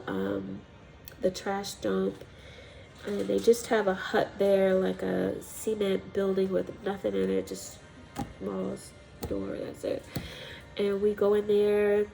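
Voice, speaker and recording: A woman's voice: mid-range voice, vocal fry, mellow, low-quality recording. A woman with a cold and a stuffy, congested voice, chatting casually as a missionary telling her story, rambling with ums and little laughs. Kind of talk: casual personal testimony vlog.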